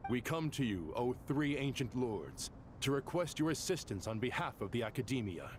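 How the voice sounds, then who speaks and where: An actor voicing an earnest, businesslike scholar envoy in a video game, with formal, diplomatic delivery. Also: male voice, mid-range voice, sing-song, moderate pace, slight background noise, lightly acted. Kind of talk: scripted video game voice acting.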